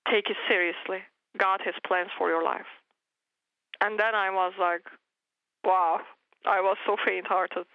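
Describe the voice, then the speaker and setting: Interview guest: woman, Turkish native speaker, earnest testimony, gravelly voice, dull lo-fi sound. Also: mid-range voice, steady tone, unhurried, long pauses, no room sound. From Turkey. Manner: earnest storytelling with frequent restarts and laughs.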